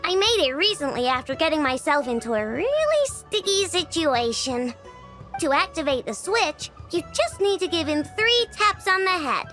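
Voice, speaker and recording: A young inventor girl, high and expressive in pitch. She sounds blunt and grudging in her game dialogue, over faint music. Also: moderate pace. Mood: proud. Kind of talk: video game voice acting.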